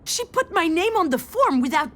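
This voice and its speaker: An actress playing an anxious, sardonic, self-deprecating daughter in a visual novel, speaking in a wry, confiding way. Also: female voice, very high-pitched, very animated, normal speed, a bit bright, a little hiss or hum, heavily acted. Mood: indignant. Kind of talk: visual novel voice acting, inner monologue and dialogue.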